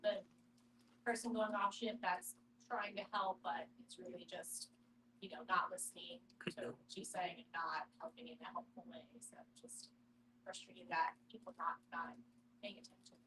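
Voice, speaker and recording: A woman commenting casually in a group discussion, sounding quiet and away from the microphone. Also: on the low side, level tone, normal speed. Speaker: discussion participant. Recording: noisy hum, quiet and off-mic.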